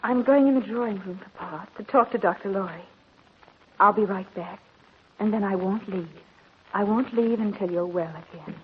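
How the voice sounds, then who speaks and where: An actress playing an anxious, devoted young married daughter in an old-time radio drama, earnest and theatrically emotional. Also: female voice, medium pitch, moderate pace, natural voice. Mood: distressed. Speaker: narrator and lead character. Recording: lo-fi noisy old radio recording.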